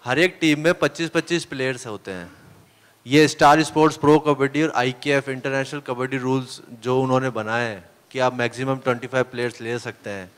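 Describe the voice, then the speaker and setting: Earnest man with a smooth voice. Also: mid-range voice, steady tone, a little fast. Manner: earnest, hesitant with many fillers. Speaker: team captain. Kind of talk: press conference answers. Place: press hall. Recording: long reverb of a hall.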